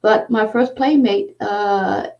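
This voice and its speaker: Danish-accented woman host, slow and halting, gravelly tone, distant mic with dull lo-fi sound. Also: medium pitch, warm tone. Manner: halting, many fillers. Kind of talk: casual conversation.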